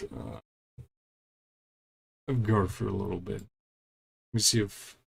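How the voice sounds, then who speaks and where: A man with a fairly low, gravelly voice commentates casually on his game stream. He mutters to himself as he goes, often trailing off mid-thought. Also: low-quality recording. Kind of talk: casual live gameplay commentary.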